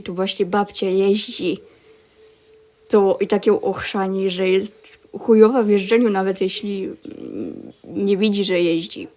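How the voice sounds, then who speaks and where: A woman from Poland chats through a reaction video as a VTuber. Her voice is mellow and unhurried, with a middle pitch. She sounds wry and incredulous as she talks. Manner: chatty, wry and incredulous, laughs while telling stories. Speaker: VTuber streamer. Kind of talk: casual reaction commentary.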